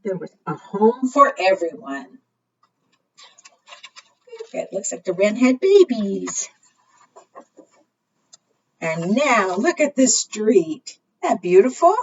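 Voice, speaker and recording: Female storytime librarian, lively intonation, teacherly read-aloud for preschoolers, heard dully from a distance. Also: mid-range voice, breathy edge. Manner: asks the young listeners frequent simple questions; expressive, deliberate teacherly delivery. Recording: muffled, distant microphone.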